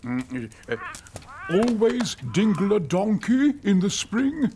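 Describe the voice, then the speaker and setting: A man's voice: high-pitched, big pitch swings, slow, dark tone, slight background noise, heavily acted. A man voicing a jolly, absent-minded old great-uncle in a children's cartoon, bumbling, hesitant and muttering. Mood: befuddled. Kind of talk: animated children's cartoon acting.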